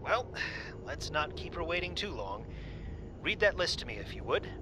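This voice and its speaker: A performer voicing a calm, patient pilot and mentor in an audio drama, giving clipped, encouraging replies. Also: mid-range voice, normal speed, background noise, natural voice.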